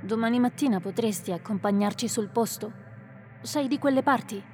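A woman voicing a calm female companion and healer who leads the group, in an Italian radio drama, speaking in a composed, authoritative way. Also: on the high side, moderate pace, faint music behind, natural voice.

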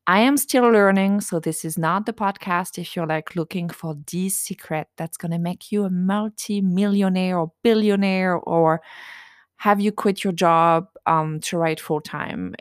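A woman's voice: lowish voice, smooth, no echo, studio quality. A young woman from France with a French accent, hosting her own podcast in a chatty, self-deprecating way with plenty of fillers. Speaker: podcast host. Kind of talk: casual solo podcast.

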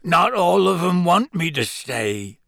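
A man performs a huge half-giant for a storybook. He is gruff but tearful and humble. The words come haltingly in a hoarse, rustic croak, recorded close and dry. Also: high-pitched, sing-song, bright, forceful tone, dry recording, voice pushed up, full character voice. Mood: tearful, doubting. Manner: rustic dropped-consonant speech, halting.

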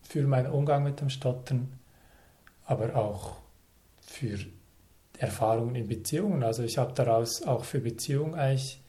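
A man's voice: mid-range voice, dark tone. Thoughtful man in his thirties. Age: 30s. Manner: thoughtful, measured, speaks in short phrases with pauses. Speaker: interview guest. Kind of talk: reflective conversational interview.

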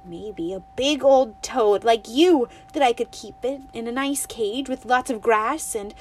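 Fields: Figure: woman playing a restless young girl. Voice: high, wistful. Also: a little slow, darkish tone. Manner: pleading, then defiant.

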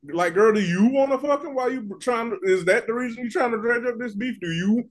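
A man co-hosting a casual gossip podcast, animated and profane, cracking jokes as he talks. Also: extremely high pitch, fairly quick. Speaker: podcast co-host.

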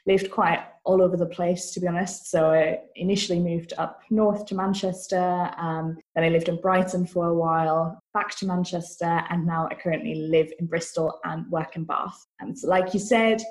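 A woman's voice: lowish voice, fairly even intonation, moderate pace, hardly any pauses, darkish tone, low-quality recording. A woman from Austria, a marketing manager guesting on a podcast, chatting in an upbeat way and thinking aloud as she talks. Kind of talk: casual interview chat.

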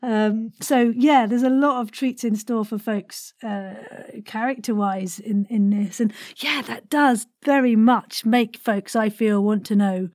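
A woman's voice: on the high side, measured pace, few pauses, darkish tone, dry recording, studio quality. A woman hosting a podcast in a playful, effusive, chatty way. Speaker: podcast host.

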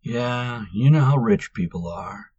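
A man's voice: medium pitch, a little slow, darkish tone, highs cut off, pitched above the performer's own voice, lightly acted. A man voicing the exasperated young Manx. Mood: sulky, weary. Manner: exasperated, insistent.

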